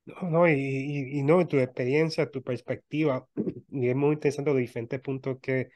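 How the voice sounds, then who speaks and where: A man, hosting a casual interview in a halting way, often repeating words. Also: mid-range voice, steady tone, a little mellow. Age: 30s. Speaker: interview host.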